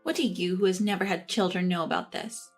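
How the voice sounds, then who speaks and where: A woman's voice: on the low side, fairly even intonation, brisk, breathy edge, faint music behind. A woman voicing an entitled, snide sister-in-law who talks down to others. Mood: indignant. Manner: condescending, needling.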